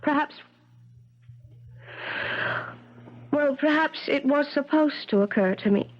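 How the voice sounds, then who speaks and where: An actress playing an earnest, self-sacrificing woman professor in a 1970s radio drama, speaking haltingly with dramatic phrasing. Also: sits high, lightly acted. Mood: anguished, resolute. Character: earnest, self-sacrificing female professor, anguished and secretly lovelorn. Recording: dull vintage radio broadcast sound.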